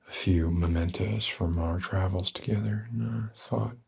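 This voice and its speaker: A man playing a gruff but tender, weary middle-aged father figure in a soft-spoken ASMR roleplay, with a husky voice and a gentle, halting drawl. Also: steady tone, full character voice. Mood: tender, hesitant. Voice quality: husky, low.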